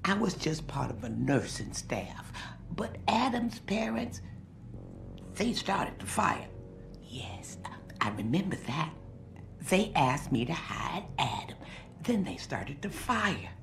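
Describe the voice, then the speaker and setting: An old, forgetful former nurse in a video game, kindly and rambling. Her low voice swoops up and down with a crackly, quavering edge. There is clear noise behind her. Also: sing-song, slow pace, exaggerated voice. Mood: haunted, remembering. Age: older. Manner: wandering, doddering.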